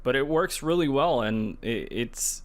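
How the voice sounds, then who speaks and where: A man's voice: medium pitch, animated, a little slow. A man co-hosting a podcast, chatting casually in a hesitant, loosely rambling way. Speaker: podcast co-host. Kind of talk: casual chat about video games.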